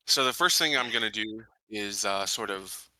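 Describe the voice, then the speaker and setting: A man's voice: lowish voice, moderate pace, bright, forceful tone, no echo, low-quality recording. A man playing a tabletop game, describing his actions casually and a little tentatively.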